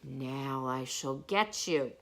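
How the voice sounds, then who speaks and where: A woman voicing a big, proud male lion in a bedtime story, with drawn-out, declamatory delivery. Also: extremely low pitch, gravelly, fairly bright tone, voice pushed down, full character voice. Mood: menacing.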